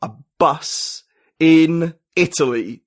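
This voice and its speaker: A man's voice: fairly high pitch, drawn-out words, no room sound. Rambling man with a dry sound. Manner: rambling, full of "like" and "you know". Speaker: podcast guest. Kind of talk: casual conversation.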